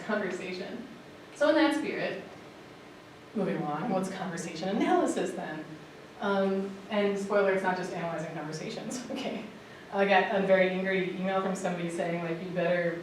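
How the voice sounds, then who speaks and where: A woman's voice: fairly low pitch, a little hiss or hum. A woman teacher giving a conference presentation in a casual, chatty way, with lots of "um" and "like". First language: English. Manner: casual and chatty, with many fillers like "um" and "like". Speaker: language teacher presenting a workshop. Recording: echoey room, voice from far off.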